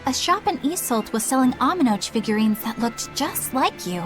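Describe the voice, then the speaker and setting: A woman's voice: high-pitched, expressive, moderate pace, over music. An actress playing an earnest, polite, dutiful young woman in a fantasy game, careful and formal in speech. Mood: tentative. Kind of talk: English game voice acting.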